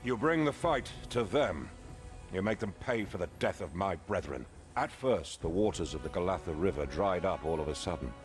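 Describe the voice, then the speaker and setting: An actor playing a zealous, devout commander in a fantasy video game, speaking in solemn, rousing oratory. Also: male voice, deep voice. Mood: stern. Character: zealous male holy war commander, defiant and devout. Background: music.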